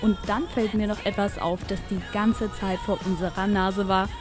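A woman's voice: mid-range voice, flat delivery, normal speed. A young woman reporter narrating a travel TV segment in a light, chatty way. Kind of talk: scripted TV voice-over narration. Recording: voice-over with clear background music.

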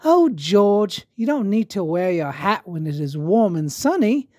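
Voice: medium pitch, very animated, measured pace, a little mellow, no echo, studio quality, pitched above the performer's own voice. A narrator voicing a caring, fussing cartoon mother pig in a storybook reading. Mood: gentle.

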